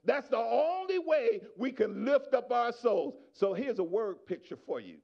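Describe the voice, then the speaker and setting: High gravelly-voiced preaching man. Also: big pitch swings, a little slow, lo-fi sound. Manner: preaching with rising shouts and quiet asides to the congregation. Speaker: pastor. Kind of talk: sermon. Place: church sanctuary. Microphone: handheld microphone.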